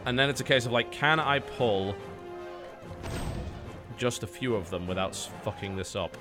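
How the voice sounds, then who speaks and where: A man's voice: mid-range voice, normal speed. An English man giving live commentary on his game, explaining his tactics as he plays and swearing now and then. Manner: analytical, explains tactics while playing, occasional swearing. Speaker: streamer playing a game. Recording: game music playing behind.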